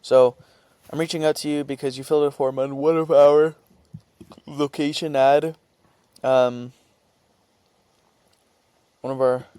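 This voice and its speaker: A man presenting an informal screen-share tutorial, talking haltingly as he thinks aloud and reads out what he types. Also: on the high side, level tone, mellow, clear, resonant tone, no echo. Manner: halting, thinking aloud while typing and reading out script.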